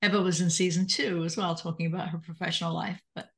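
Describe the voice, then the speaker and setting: A woman's voice: lowish voice, steady tone, fast, vocal fry, fairly bright tone. Middle-aged woman, podcast host, friendly curious questions, interview chat. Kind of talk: interview hosting.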